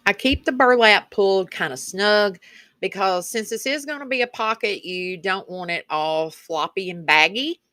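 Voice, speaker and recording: A woman's voice: medium pitch, pushed and bright, no echo. A woman with a rural Kentucky Southern accent narrating a casual craft tutorial as the channel's host, in a folksy, chatty way. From Kentucky, rural United States.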